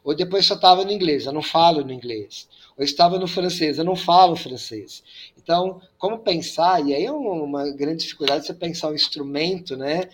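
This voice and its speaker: Man, priest, panel guest, measured explanations, frequent fillers, fairly high voice. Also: fairly bright tone. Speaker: priest and migration expert guest. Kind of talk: panel discussion.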